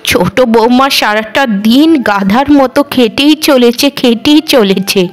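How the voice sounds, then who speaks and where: A woman's voice: a little high, unhurried, natural voice. A woman voicing an elderly cartoon mother-in-law, weary and scolding, with a lamenting, nagging way of talking. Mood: weary, fond. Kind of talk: Bengali cartoon voice acting.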